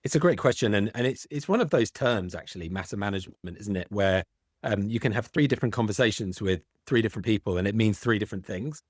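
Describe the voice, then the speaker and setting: A man's voice: on the low side, expressive, fast talker, no room sound, clean studio sound. A middle-aged man with a British accent, a podcast guest who explains at length, often restarting on small words. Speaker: guest, legal tech founder. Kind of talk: podcast interview.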